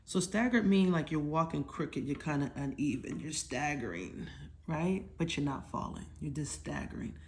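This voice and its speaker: Lower-voiced woman hosting a children's storytime lesson. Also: lively intonation, moderate pace, creaky, a little hiss or hum. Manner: teacherly, asks questions and checks understanding; simple, informal explanations for children. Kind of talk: casual children's lesson, conversational.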